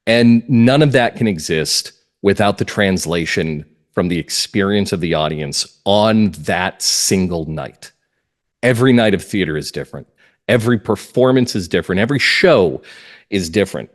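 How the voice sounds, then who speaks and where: A man speaking as a writer being interviewed, reflective and earnest, setting out his views in deliberate, emphatic phrases. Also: sits low, expressive. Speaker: playwright and audio drama writer, interview guest.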